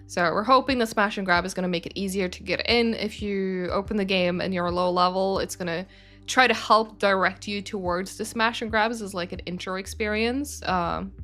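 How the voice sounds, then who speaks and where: Woman, young adult, stream host, chatty, rambling, mid-pitched voice, faint music behind. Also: steady tone, normal speed, sharp tone. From Sweden. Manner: chatty, rambling, frequent fillers and self-corrections. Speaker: community manager hosting a game studio stream. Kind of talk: casual livestream chat reading viewer questions.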